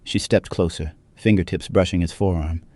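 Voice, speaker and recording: A man narrating a scripted dramatic story in a measured, hushed, solemn manner. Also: deep voice, steady tone, no room sound.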